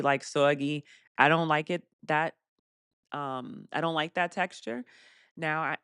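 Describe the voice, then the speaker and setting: A woman with a fairly deep voice hosting a casual live stream Q&A. She laughs often and reads viewer comments aloud. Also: no echo, clean studio sound. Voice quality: deeper voice for a woman.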